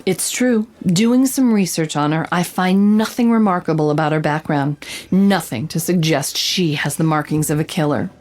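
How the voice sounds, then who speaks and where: Woman playing a wry, low-pitched investigative reporter. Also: moderate pace, a little mellow, lightly acted. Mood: matter-of-fact narrating. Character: confident, sly female investigative reporter narrating her case. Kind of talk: noir-style scripted audio drama narration and dialogue.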